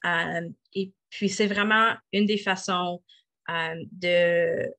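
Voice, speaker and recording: Woman, middle-aged, slow unhurried delivery, hesitant with lots of fillers, video call. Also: fairly low pitch, steady tone, pushed and bright. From Eastern Ontario, Canada. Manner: halting, many fillers and restarts. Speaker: municipal councillor and guest. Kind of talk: interview answers.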